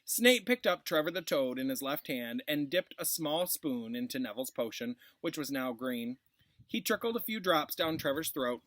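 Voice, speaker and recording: Animated male teacher reading aloud. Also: mid-range voice, normal speed, dry recording. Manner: informal asides. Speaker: classroom teacher. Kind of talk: expressive read-aloud for pupils.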